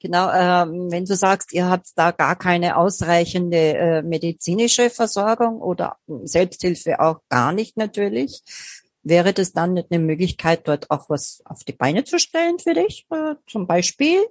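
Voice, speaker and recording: Interviewer: woman, lowish voice, hesitant questions, dry close sound. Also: lively intonation, measured pace, dry recording. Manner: hesitant questions with many fillers and backchannels. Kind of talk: hosting an interview.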